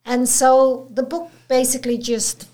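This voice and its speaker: A woman's voice: high-pitched, little pitch movement, measured pace, warm tone. A middle-aged woman with a Welsh accent, a council chief executive interviewed as a guest, talking earnestly in a motivational way. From Wales. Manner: earnest, motivational, speaks in full stories. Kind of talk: casual interview.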